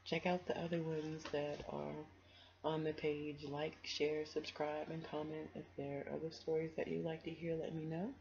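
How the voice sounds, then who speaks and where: A woman's voice: sits low, flat delivery, normal speed, gravelly, a little mellow, muffled recording, a little hiss or hum. A woman doing a children's storytime, reading and chatting to listeners in an encouraging, affectionate way.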